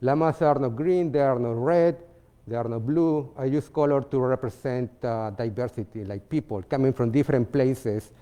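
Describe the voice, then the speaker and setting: A man from Peru with a Peruvian Spanish accent, presenting his artwork in a seminar talk, halting with fillers and restarts. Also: medium pitch, mellow. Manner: halting, many fillers and restarts, non-native phrasing. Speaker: visiting artist giving a seminar talk.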